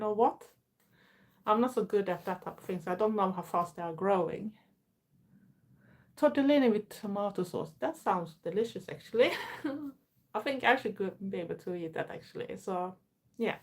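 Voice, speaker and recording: A woman's voice: medium pitch, a little mellow. A middle-aged woman from Sweden with a Swedish accent, chatting casually on a live stream in her bedroom, answering viewer comments with plenty of fillers. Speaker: YouTuber hosting a live stream. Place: bedroom at home. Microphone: phone camera.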